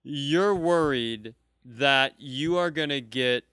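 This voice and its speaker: A man's voice: medium pitch, takes it slowly, a bit bright, smooth. A man co-hosting a live comedy podcast, rambling as he asks playful, needling questions. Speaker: podcast host. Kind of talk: casual comedic chat.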